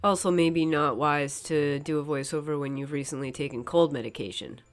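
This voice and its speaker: A woman's voice: sits low, normal speed. A woman with a head cold, sounding stuffy and nasal, chatting casually through a painting tutorial voice-over and hedging with "kind of" and "um". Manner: relaxed and chatty, hedges with frequent "kind of" and "um". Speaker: painter demonstrating her work. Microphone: voice-over recorded separately from the footage.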